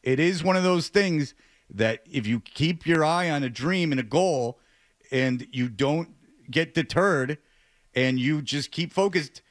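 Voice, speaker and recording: A man's voice: on the high side, unhurried, no echo. Male sports radio host, dry sound. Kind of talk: casual radio banter. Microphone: close studio radio mic.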